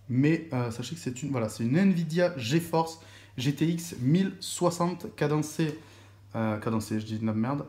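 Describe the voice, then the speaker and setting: A man's voice: medium pitch, darkish tone. A young man chatting casually as he unboxes a laptop, hesitant and full of fillers and restarts. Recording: echoey room with faint noise.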